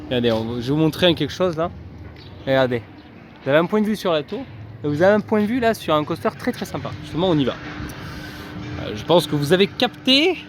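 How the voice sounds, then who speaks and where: A high-pitched man talks viewers through his day in a chatty, rambling way, full of fillers. He records outdoors on a handheld camera in a busy park. Music plays clearly behind him. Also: expressive, quick pace. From France. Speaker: vlogger. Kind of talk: casual vlog commentary.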